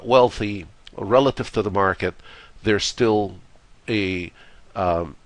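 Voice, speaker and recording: A hoarse, congested man delivers a slide lecture on trading in a slightly low voice. He speaks in a measured way and leans on "uh" between phrases. The recording is close and dry, with no room sound. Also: dry recording. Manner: measured, with frequent "uh" fillers. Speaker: trading course instructor.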